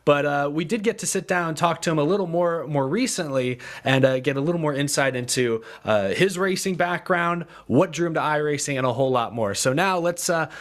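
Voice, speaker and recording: A man hosting a casual sports livestream, talking in a halting way with repeated words. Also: on the high side. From Houston, USA. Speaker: livestream host.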